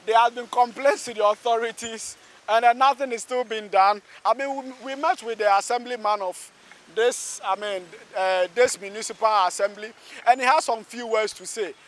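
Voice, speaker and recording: High-voiced Ghanaian man reporting on location. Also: steady tone, normal speed, a bit bright. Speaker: radio reporter and interviewer. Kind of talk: on-location radio news interview. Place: outdoors by a busy road underpass.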